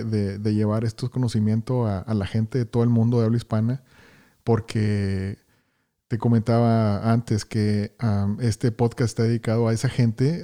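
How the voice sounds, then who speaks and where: A man's voice: fairly low pitch, mellow. A man hosting a podcast interview, greeting listeners with energy and often repeating words as he talks. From Houston, Texas, United States. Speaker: podcast host.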